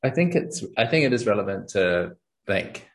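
A young man, talking casually and thoughtfully as an interview guest, often pausing with ums and small laughs. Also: on the low side, big pitch swings. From New Zealand. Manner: thoughtful, searching for words, frequent ums and laughs. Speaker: podcast guest and channel host.

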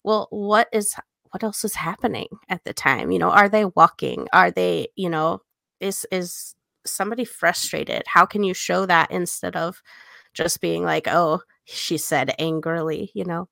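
A woman's voice: on the low side, moderate pace, gravelly, pushed and bright, no room sound, studio quality. A woman co-hosting a casual book discussion, explaining her points in a conversational way. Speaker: podcast co-host and editor.

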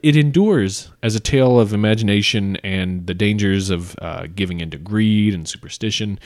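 A man with a fairly low voice co-hosts a podcast, picking apart a classic tale with lots of "uh"s and restarts. His voice sounds close and dry, with no room around it. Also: a little mellow, dry recording. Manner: analytical, halting with many fillers and restarts. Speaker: podcast co-host. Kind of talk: casual literary discussion.

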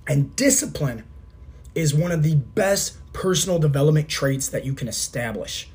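Hoarse-throated man giving a motivational pep talk. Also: a little high, lively intonation, moderate pace, a little mellow. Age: 30s. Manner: earnest, repetitive, coach-like pep talk. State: scratchy throat, losing his voice. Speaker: podcast host and fitness coach. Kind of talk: motivational podcast monologue.

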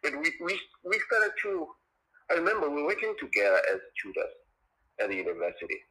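A man being interviewed as a guest, talking in a halting way that repeats words and restarts. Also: a little high, wildly swooping pitch, pushed and bright, low-quality recording. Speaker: guest, teacher. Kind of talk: casual interview chat. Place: China.

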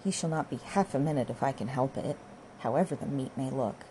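A woman's voice: lowish voice, big pitch swings, normal speed, warm tone, a little hiss or hum, low-quality recording. A woman voicing a tender-hearted young countryman, earnest and pained, in an audiobook. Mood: determined. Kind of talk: audiobook character dialogue.

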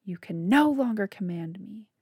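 A woman's voice: lowish voice, measured pace, creaky, darkish tone, slightly rough, dry recording, studio quality, heavily acted. A woman reading as a sneering young vampire man. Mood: mocking. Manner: taunting, sneering. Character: cruel young male vampire, mocking and arrogant.

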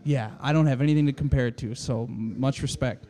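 A man in his thirties from Michigan, hosting a casual podcast interview in a relaxed, easygoing way. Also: medium pitch, fairly quick. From Michigan, USA. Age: 30s. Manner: easygoing, laughs often, says "man" a lot. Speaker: podcast host.

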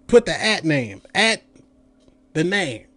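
A man's voice: a little high, big pitch swings, slow pace, bright, forceful tone, no echo. A man in his late thirties hosting a podcast, delivering a heated, profane, mocking rant. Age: 30s. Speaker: podcast host. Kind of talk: ranting commentary.

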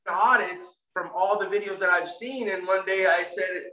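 A man with a background talks in a high, bright voice, restarting sentences as he goes. He sits some way from the mic in a room with a strong echo. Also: steady tone, normal speed. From Puerto Rico, raised in Florida. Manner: rambling, repeats and restarts often. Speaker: guest, First Amendment auditor. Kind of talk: casual interview chat. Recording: echoey room, distant mic.

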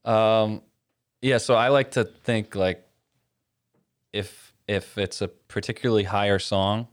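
Deep-voiced man explaining worship songs. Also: fairly even intonation, takes it slowly, clean tone. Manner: hesitant, many fillers and restarts. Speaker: worship leader. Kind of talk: casual conversation.

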